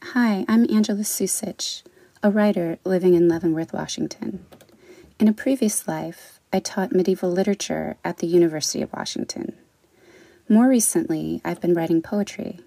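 Woman reading her poetry, soft dark tone with some fry, dry recording. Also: lowish voice, dry recording. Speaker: poet, writer. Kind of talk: spoken introduction and poetry reading.

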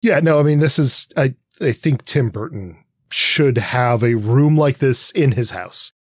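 A man's voice: mid-range voice, expressive, a little slow, breathy edge, no room sound, studio quality. A man co-hosting a podcast, talking through ideas in a casual, analytical way with informal asides. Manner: informal, thinks aloud with hedging asides. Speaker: podcast co-host.

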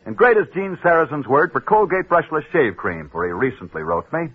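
A man's voice: on the high side, sing-song, a little fast, husky. A man hosting a sports story show, telling tales and reading ads in a punchy, dramatic 1940s radio style. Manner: dramatic, punchy delivery with sudden drops. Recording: tinny old radio broadcast.

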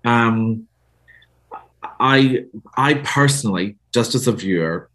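A man's voice: mid-range voice, takes it slowly, fairly bright tone. A man with a Northern Irish accent, an author talking as a guest in a conversational interview, thoughtful and hesitant with many restarts. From Northern Ireland.

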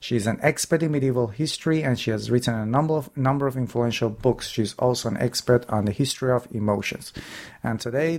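A man's voice: medium pitch, darkish tone. A man hosting an academic book interview, speaking formally with some hesitant fillers. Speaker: podcast host.

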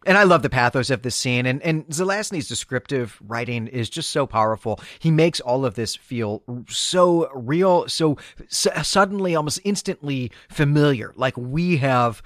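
A man's voice: mid-range voice, expressive, normal speed, few pauses, a bit bright, dry recording, studio quality. Enthusiastic man musing on a story. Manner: enthusiastic, reflective, with frequent fillers. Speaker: podcast co-host. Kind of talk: casual book discussion.

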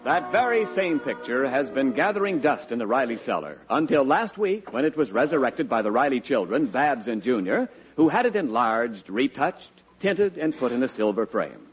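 Animated, mock-formal old-time radio announcer. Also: male voice, medium pitch, moderate pace, slightly rough, soft background music. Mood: genial, mock-formal. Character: genial, tongue-in-cheek radio comedy narrator. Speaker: announcer and narrator. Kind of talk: 1940s radio scripted narration. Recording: muffled vintage radio broadcast recording.

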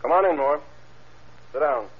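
Plain-spoken sergeant, dull old radio. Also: male voice, fairly high pitch, moderate pace, breathy edge. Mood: hearty. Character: plain-spoken, obliging small-city police sergeant. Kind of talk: 1940s-50s radio crime drama acting. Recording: dull vintage radio recording.

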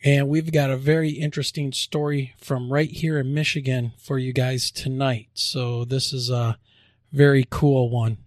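A man co-hosting a podcast, chatty and upbeat in casual talk, quick to laugh. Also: medium pitch, little pitch movement, no echo, clean studio sound. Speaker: podcast co-host.

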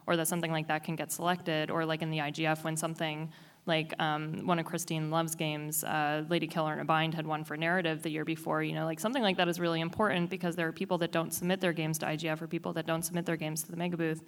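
A woman's voice: sits low, little pitch movement, fast talker. A woman who organizes an indie game showcase, speaking casually on a panel and leaning on "like" and "um". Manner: casual, filler-heavy with frequent "like". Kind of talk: panel discussion.

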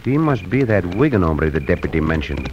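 An actor playing a bold Mexican cowboy hero with formal phrasing and a Mexican accent in a vintage radio western. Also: male voice, low voice, very animated, fairly quick. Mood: calm, confiding. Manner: formal phrasing without contractions. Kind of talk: 1950s radio western acting. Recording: muffled, noisy old radio recording.

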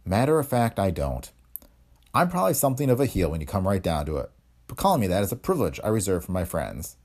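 A man's voice: sits low, very animated, quick pace, a little mellow, full character voice. A man voicing a cocky, sardonic, hard-headed investigator in a hardboiled detective story, wry and tough-talking. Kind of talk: hardboiled detective audiobook dialogue.

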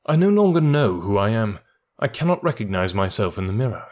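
A man voicing a proud, elusive gentleman thief in an audiobook, with a theatrical, self-assured manner. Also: lowish voice, very animated, a little fast. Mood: musing.

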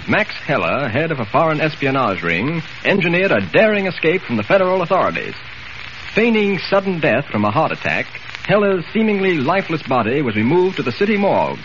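A man's voice: mid-range voice, fairly bright tone. A man narrating a 1940s radio serial in a scripted, dramatic, suspenseful storytelling manner. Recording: noisy, muffled vintage radio recording.

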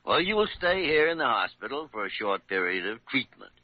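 An actor playing a gruff, fatherly senior doctor in a 1940s-style radio drama. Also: mid-range voice, big pitch swings, a little fast, no room sound. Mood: reassuring. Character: seasoned male senior doctor, gruff, fatherly, matter-of-fact. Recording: dull, dry old radio recording.

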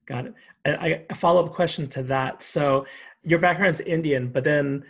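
Husky man asking polite questions. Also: a little high, moderate pace. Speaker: interviewer, podcast host. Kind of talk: casual interview.

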